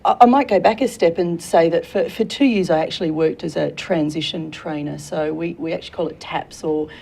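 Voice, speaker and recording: A woman's voice: sits low, a little fast, vocal fry, slightly rough. An Australian woman in her forties, an enthusiastic career consultant talking as a guest in a studio interview. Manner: warm, enthusiastic, laughs often.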